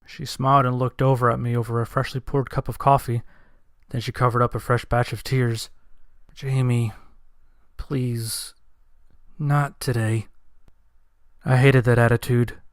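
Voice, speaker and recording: A man's voice: mid-range voice, little pitch movement, brisk, smooth, no echo, clean studio sound. A man narrating a first-person dramatic story in a somber, earnest manner.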